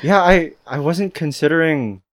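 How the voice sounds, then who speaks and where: A young man from the Philippines chatting casually in a slangy, flat monotone way, ranking his favorite fruits. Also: fairly high pitch, moderate pace, a crowd in the background. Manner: laid-back slangy talk, frequent swearing.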